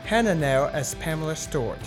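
A woman announces from a script. Her voice is very deep for a woman, and guitar music plays clearly behind it. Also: animated, a little fast. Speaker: credits announcer.

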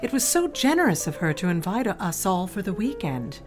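A woman's voice: medium pitch, very animated, normal speed, faint music behind, natural voice. A middle-aged woman acting a gushing, condescending, controlling society mother in an audio drama.

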